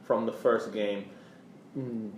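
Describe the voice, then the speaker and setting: A man co-hosting a casual sports history discussion, explaining haltingly and often repeating words. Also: lowish voice, steady tone. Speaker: podcast co-host. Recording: echoey room, faint background noise.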